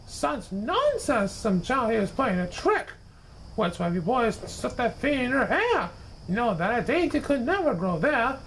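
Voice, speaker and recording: A man voicing a flustered, scolding veteran female schoolteacher in a storybook, brisk and indignant. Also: very high voice, very animated, gravelly, fairly bright tone, slight background noise, full character voice, poor audio.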